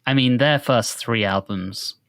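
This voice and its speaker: A man's voice: mid-range voice, a little slow, creaky, a bit bright, dry recording. Dry-sounding man hosting a casual podcast. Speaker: podcast host.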